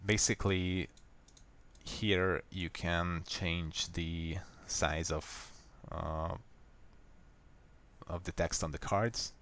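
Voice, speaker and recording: A man with a Hungarian accent, a native Hungarian speaker, calmly walking viewers step by step through a software fix in a screen recording. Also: low voice. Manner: unhurried, plain, step-by-step explaining. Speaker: software tutorial maker. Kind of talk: screen-recorded how-to walkthrough.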